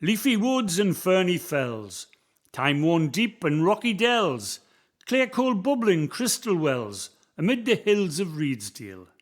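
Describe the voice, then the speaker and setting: A man voices a cheerful ghostly roadman from the Northumbrian hills, speaking in a bright, high, lively voice with a broad Northumbrian accent. He is folksy and kindly, reciting verse and soothing a frightened visitor in a community radio play. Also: measured pace.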